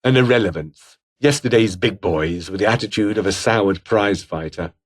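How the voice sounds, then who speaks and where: A man's voice: on the low side, normal speed, natural voice. A male actor playing a haughty, bitter, scheming fallen aristocrat and rebel officer in a video game, with a British accent and a pompous, sneering, formal manner. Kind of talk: video game character dialogue.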